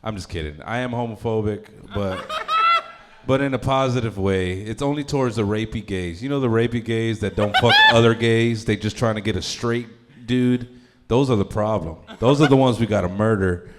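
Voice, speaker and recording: A man with a fairly low voice working through a stand-up set. His delivery is crude and rambling, full of uh and um fillers. He keeps chuckling at his own lines. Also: moderate pace, very noisy. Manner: throws in lots of fillers like uh and um. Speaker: stand-up comedian.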